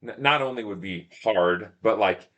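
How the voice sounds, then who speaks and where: Guy with a lowish voice being interviewed on a podcast, rambly and self-deprecating, thinks out loud. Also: normal speed, dull audio. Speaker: podcast guest, ultramarathon runner and shop owner. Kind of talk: casual interview talk, telling stories about racing.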